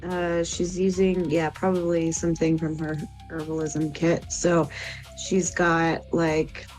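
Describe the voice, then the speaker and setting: A woman with a slightly low voice, playing a tabletop game. She describes her character's moves casually and slowly, as if working them out, with faint music in the background. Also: little pitch movement, a little mellow.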